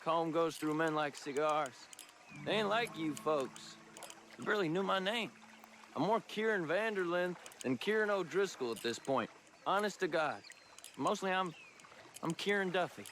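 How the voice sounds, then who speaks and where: Timid young outlaw, rural American accent, high voice, nervous, folksy, game cutscene, light outdoor background noise. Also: male voice, expressive, moderate pace, a bit bright. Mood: earnest, pleading. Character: anxious, timid young outlaw, former stablehand, pleading. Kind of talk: video game cutscene dialogue.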